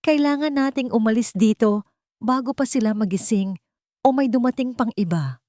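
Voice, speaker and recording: A woman's voice: fairly high pitch, moderate pace, mellow, pitched above the performer's own voice, natural voice. A woman acts out an earnest romantic hero, a protective CEO in an audio love story. The delivery is emotional and urgent, pleading with feeling, recorded dry and without echo.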